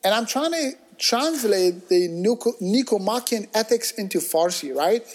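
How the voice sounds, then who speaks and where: A man in casual conversation, telling stories in an animated, discursive way, full of restarts and acted-out quotes. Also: high voice, normal speed.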